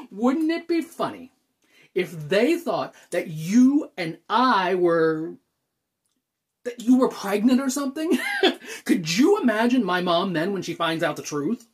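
Man acting anxious, halting thirty-year-old, widely swinging pitch. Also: expressive, slightly rough, exaggerated voice. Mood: nervously joking. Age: 30s. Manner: halting, nervous, sighs and stammers. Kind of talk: dramatic monologue, self-taped acting.

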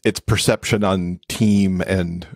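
A man's voice: sits low, fairly even intonation, slow pace, darkish tone, dry recording, clean studio sound. A man talking casually and enthusiastically as a podcast guest. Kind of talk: casual chat.

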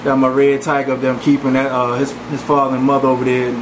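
A man giving a relaxed, rambling walk-through of his home aquarium room, speaking casually with many fillers into a handheld camera. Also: mid-range voice, fast talker. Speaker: fish breeder giving a tour. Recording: camcorder audio with fan hum.

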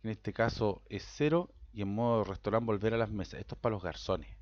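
A Chilean man with a Chilean Spanish accent, his voice a bit low, talks through a software install on his computer. He rambles, repeats words and keeps checking in with listeners. The sound is dull and lo-fi, caught by a simple computer mic. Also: fairly quick, no echo. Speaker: software tutor. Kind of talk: casual screen-recorded tutorial.

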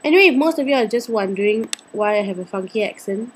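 A woman in her twenties with a Singaporean accent and a slightly husky voice, chatting playfully through a casual makeup tutorial. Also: mid-range voice, lively intonation, fast, slight background noise. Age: 20s. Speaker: beauty vlogger. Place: indoors, small home room.

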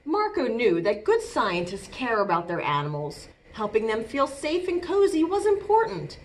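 Gentle, lively children's librarian woman. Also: medium pitch, slight background noise, rough recording. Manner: gentle, sing-song and expressive, slowing to a hush for lullabies. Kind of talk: storytime reading and singing for young children. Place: small room with bookshelves, indoors. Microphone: webcam at close range.

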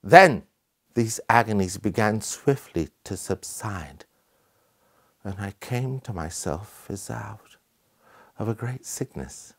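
Guy playing a creepy victorian doctor, low raspy voice, really slow and dramatic. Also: animated, full character voice. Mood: anguished. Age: middle-aged. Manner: grave, theatrical, deliberate. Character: haunted, sinister Victorian gentleman doctor, middle-aged. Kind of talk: dramatic monologue from gothic fiction.

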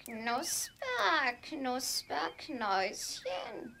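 Knarzige, schleppende alte Hexe. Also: female voice, high-pitched, very animated, bright, forceful tone, slight background noise, full character voice. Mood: sly, menacing. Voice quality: creaky. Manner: drawn-out sing-song taunting. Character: creepy old witch guarding her gingerbread house. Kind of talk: children's fairy-tale audio play.

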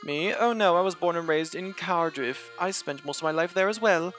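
A prim, slightly haughty older woman from a puzzle adventure game, voiced by a man reading her lines. She talks in a proper, measured way over soft game music. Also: on the high side, natural voice. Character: prim older woman, newly moved to the city.

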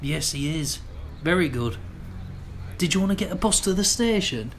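An actor playing a quiet, awkward, agreeable young man on a date in a radio play. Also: a little high, lively intonation, normal speed, slight background noise. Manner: quiet, tentative.

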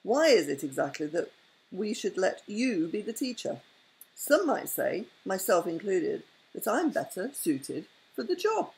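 A woman's voice: medium pitch, very animated, a little slow, vocal fry, a little mellow, low-quality recording. A grandmother voicing a bossy, self-important male rabbit in a bedtime storybook reading. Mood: indignant. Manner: challenging, self-assured.

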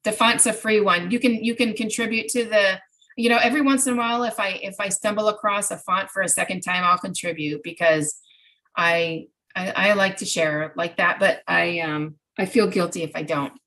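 A middle-aged woman speaks at a middling pitch as she presents an informal workshop over a video call. She answers questions step by step, giving practical examples as she goes. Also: fairly even intonation, normal speed, bright, forceful tone, poor audio. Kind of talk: informal online workshop chat. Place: home craft room, indoors. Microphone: computer mic on a video call.

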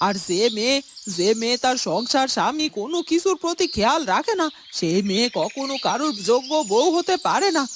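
A disapproving cartoon mother , acted by a woman, talking to her grown son. She is fairly high-pitched, complaining and insistent, often repeating herself. Birds chirp clearly behind her. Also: big pitch swings, a little fast, background noise. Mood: complaining, coaxing. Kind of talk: cartoon family melodrama.

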